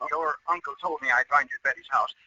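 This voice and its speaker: An actor playing a brisk, scheming, jovial boss in 1940s radio comedy. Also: male voice, fairly high pitch, sharp tone. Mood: furtive, brisk. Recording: muffled, distant, faintly noisy old radio.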